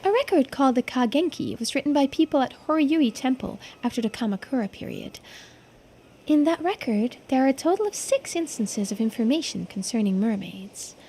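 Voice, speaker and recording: A woman voicing a polite, studious local guide in a video game, explaining things in a measured, formal way. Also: high-pitched, lively intonation, warm tone, slight background noise. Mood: earnest, scholarly. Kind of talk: game character dialogue read aloud. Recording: music behind the voice.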